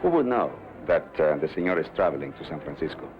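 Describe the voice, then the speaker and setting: A man's voice: extremely low pitch, sing-song, fast talker, slight background noise. An actor playing a cool, laconic hired gunman in a western drama, terse and dry-witted. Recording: dull old soundtrack, music behind.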